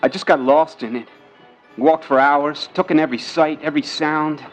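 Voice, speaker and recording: An actor playing a lovestruck young sailor son, earnest and halting, gushing boyishly in a 1950s-set audio drama. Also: male voice, on the high side, fairly even intonation, faint music behind, lightly acted. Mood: dreamy, smitten.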